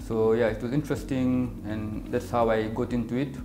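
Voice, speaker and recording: A Kenyan man in his thirties, an optician and business owner, answering interview questions in a measured way, with many fillers. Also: mid-range voice, flat delivery. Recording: echoey room with music behind.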